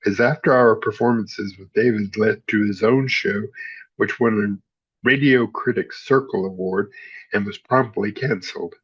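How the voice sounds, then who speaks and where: A man's voice: lowish voice, normal speed, slightly rough, muffled recording. A man narrating in a scripted style, reading encyclopedia-like history as a host.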